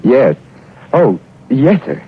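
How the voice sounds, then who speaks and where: An actor playing a self-assured, brusque British secret agent who narrates a 1940s radio drama. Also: male voice, mid-range voice, very animated, slow pace, husky, heavily acted. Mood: deferential. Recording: muffled vintage radio recording with music.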